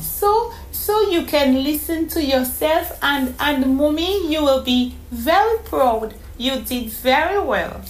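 Storybook little girl, very high, stammering, breathless; woman reader puts it on. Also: animated, takes it slowly, hardly any pauses, echoey room, poor audio. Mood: proud.

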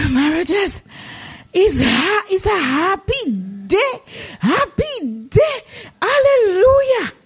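A woman playing a devout, gullible, excitable older village woman with a Dominican Caribbean accent, gushing and exclamatory, in a drama. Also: very high voice, extremely slow, heavily acted. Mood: joyful, earnest. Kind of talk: dramatised dialogue in Caribbean creole English.

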